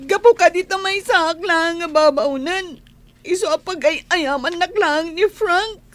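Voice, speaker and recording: A woman playing a heartbroken young housemaid in a radio drama, tearful and wailing, with drawn-out delivery. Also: extremely high pitch. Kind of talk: Ilocano radio comedy drama acting.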